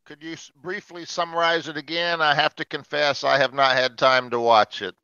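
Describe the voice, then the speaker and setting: A man's voice: on the high side, fairly even intonation, moderate pace, sharp tone, smooth, dull audio. An older man from Alabama teaching English conversation, patiently explaining with everyday examples to a group of learners. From Alabama, United States.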